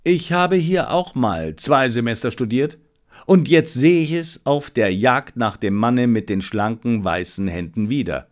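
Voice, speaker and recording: A man voicing a confident, analytical detective in an audiobook, explaining things emphatically and deliberately. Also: medium pitch, expressive, natural voice. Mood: assured, explaining.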